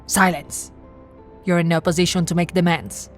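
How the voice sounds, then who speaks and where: A woman playing a haughty, possessive immortal vampire aristocrat in an ASMR roleplay, imperious and condescending, theatrical, often dropping into a breathy whisper. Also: lowish voice, fairly even intonation, moderate pace, faint music behind, close to an everyday voice. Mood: imperious, proud.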